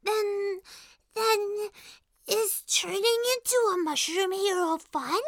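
A woman voicing a small, mischievous mushroom boy with a squeaky, childlike voice in a children's audio story. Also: very animated, very slow, sharp tone, no room sound, clean studio sound, exaggerated voice. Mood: sheepish.

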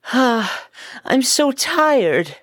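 A woman playing a boyish, stubborn young king in a children's story, with a whiny, drawn-out delivery. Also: high voice, sing-song, a bit bright, dry recording, studio quality, full character voice. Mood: weary, curious. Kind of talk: children's audio story acting.

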